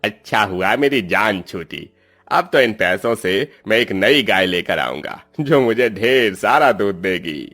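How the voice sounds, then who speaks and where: A man's voice: lowish voice, animated, unhurried, fairly bright tone, faint music behind. A man voicing a greedy, cruel middle-aged moneylender in a cartoon story, with a harsh, scolding, scheming way of talking.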